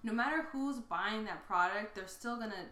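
A woman's voice: medium pitch, moderate pace, over a noisy crowd. A woman in her mid twenties co-hosting a podcast in casual, conversational talk. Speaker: podcast co-host. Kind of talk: casual chat. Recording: echoey room, other voices around.

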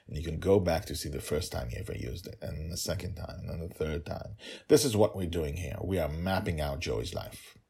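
A middle-aged man with a very deep, raspy voice hosts an informal story podcast. His intonation swings widely as he narrates and chats to listeners. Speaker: author and podcast host.

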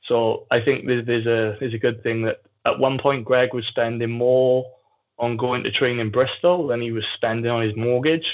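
A man's voice: mid-range voice, fairly even intonation, normal speed. A man with a South Wales Valleys accent chatting casually and candidly as a podcast guest. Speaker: podcast guest, jiu-jitsu athlete. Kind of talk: casual conversational storytelling. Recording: muffled phone-like call audio.

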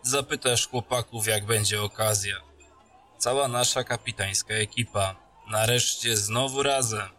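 A man's voice: fairly low pitch, unhurried, pushed and bright. A man voicing a jokey captain greeting an old friend in a fantasy audio drama, teasing and friendly.

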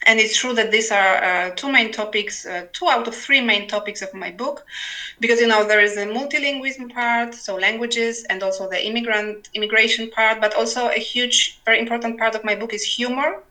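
A woman's voice: on the high side, steady tone, brisk, pushed and bright. A woman, talking conversationally and with wry humor as an interview guest. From Poland. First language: Polish. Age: 40s. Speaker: podcast guest, writer. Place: remote internet call.

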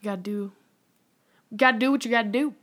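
Young woman, podcast guest, candid and rambling, slightly high pitch. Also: quick pace, a bit bright. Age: young adult. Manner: rambling, lots of "like" fillers. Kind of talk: casual candid conversation.

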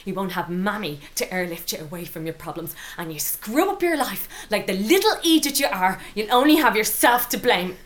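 A woman's voice: mid-range voice, sing-song, moderate pace, hardly any pauses, close to an everyday voice. An actress playing a stern, exasperated middle-aged Irish mother in a radio drama, scolding and commanding. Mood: furious. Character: stern, exasperated mother of a rebellious teenage daughter.

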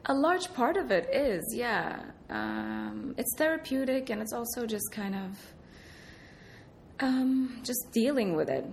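A woman from Iraq answering interview questions, soft-spoken, often hesitating and laughing. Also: on the high side, big pitch swings, unhurried, gravelly, a little hiss or hum. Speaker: artist, interview guest.

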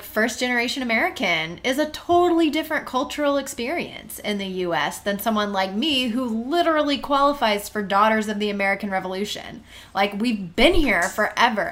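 A woman's voice: mid-range voice, fairly bright tone. Thoughtful woman asking long questions. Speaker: interview host. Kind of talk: conversational interview questions.

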